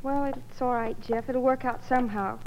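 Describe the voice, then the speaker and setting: An actress playing an earnest, sad young daughter in love. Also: female voice, a little high, steady tone, normal speed, darkish tone, slight background noise, lightly acted. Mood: downcast. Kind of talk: 1950s radio drama acting. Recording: muffled vintage radio broadcast.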